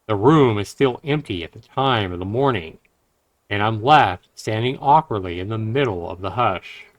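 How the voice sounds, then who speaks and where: A man's voice: fairly low pitch, animated, moderate pace, no room sound. A man streaming a game, reading a visual novel aloud and adding casual commentary.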